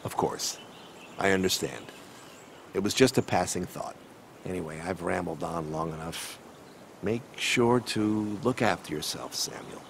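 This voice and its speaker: A man's voice: sits low, a little slow, vocal fry, a little hiss or hum. An actor playing a kindly, melancholy older newspaperman in a video game, wistful and slightly literary in his phrasing. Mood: gentle, wistful. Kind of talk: video game cinematic dialogue.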